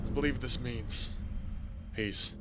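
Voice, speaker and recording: An actor playing a folksy, stern, principled small-town sheriff in a radio drama, plain-spoken with a drawl. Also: male voice, medium pitch, slow pace, natural voice. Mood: grave. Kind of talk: audio drama acting. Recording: music bed behind the voice.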